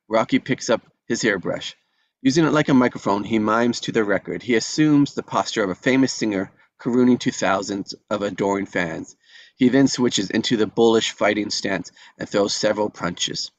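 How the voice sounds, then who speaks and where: A man's voice: fairly low pitch, muffled recording. A man reading out stage directions as narrator in a scripted table read.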